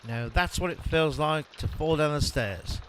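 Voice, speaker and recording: An actor playing a naive, dim comic sidekick in an amateur audio drama, blithely oblivious. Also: male voice, on the high side, measured pace, lightly acted. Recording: clearly noisy amateur recording.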